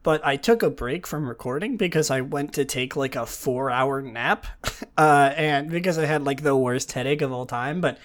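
A young man with a sick, raspy, groggy voice, hosting a casual news podcast and rambling with many fillers and restarts. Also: mid-range voice, moderate pace. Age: young adult. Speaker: podcast host.

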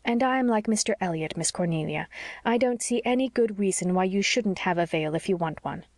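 A woman voicing a polite, earnest young wife in an audiobook story, with a soft and sincere manner. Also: medium pitch, expressive, a little fast, no echo, clean studio sound.

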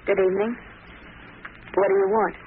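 Actress as plucky young shopgirl, defiant, protective, plain-spoken, 1940s radio drama, tinny recording with steady noise. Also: medium pitch, moderate pace, lightly acted. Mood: suspicious. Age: young adult. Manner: plain-spoken working-class talk. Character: brave, defiant young grocer's daughter, bitter and protective.

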